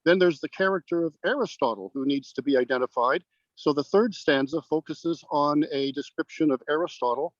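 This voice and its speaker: Older man, higher-pitched, halting. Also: dry recording. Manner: halting, deliberate. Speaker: guest poet. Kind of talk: explanatory interview talk. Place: outdoors on grass in a parking lot. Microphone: remote video call. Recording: dull, lo-fi call audio.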